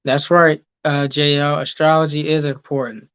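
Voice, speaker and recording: A man's voice: a little high, flat delivery, normal speed, a bit bright, clean tone, dry recording, lo-fi sound. A man hosting a casual teaching talk, reading viewer comments aloud and answering them, with many ums and okays. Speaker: host reading live chat.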